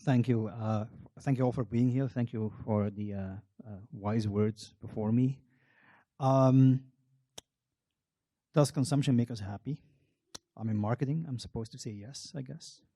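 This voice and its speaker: A man's voice: mid-range voice, fairly even intonation, measured pace, dark tone, dry recording, studio quality. A middle-aged Belgian man giving a public talk, telling a wry, self-deprecating personal story. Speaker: marketing researcher on a panel.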